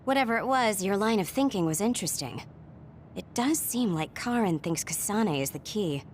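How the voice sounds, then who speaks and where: A woman's voice: mid-range voice, animated, moderate pace, slight background noise, close to an everyday voice. An actress playing a composed, analytical young female officer in an anime video game dub.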